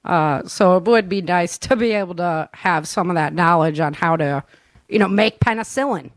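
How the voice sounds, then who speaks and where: A woman's voice: lowish voice, normal speed, no echo. Casual woman hosting, close dry sound. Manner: informal and slangy, conversational. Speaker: show host and producer. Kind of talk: casual live chat show talk.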